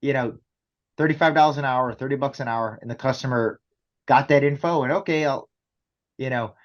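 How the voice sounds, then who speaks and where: A man's voice: mid-range voice, brisk, highs cut off. A man explaining things conversationally as a business interview guest, often checking in with rhetorical questions. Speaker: company executive guest.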